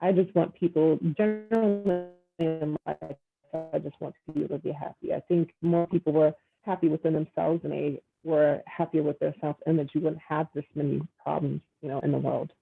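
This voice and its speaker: Woman on a video call, gravelly and earnest, thinking aloud with fillers, thin phone-line sound. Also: sits low, fairly even intonation, normal speed, soft background music. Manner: earnest, reflective, many fillers. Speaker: dating guest. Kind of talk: casual video-call conversation.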